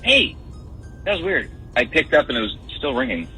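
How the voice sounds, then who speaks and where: A man's voice: mid-range voice, big pitch swings, normal speed, bright, forceful tone, soft background music. A man talking conversationally on a phone call to a radio show. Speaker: caller on a radio phone segment.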